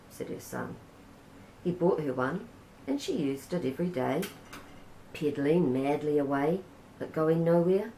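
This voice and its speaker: A woman with a New Zealand accent reads a children's story aloud, gentle and unhurried. Her voice sits low with a gravelly edge, and the room gives it a noticeable echo. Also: a little hiss or hum. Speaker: storybook reader. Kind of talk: reading a children's picture book aloud. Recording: roomy echo.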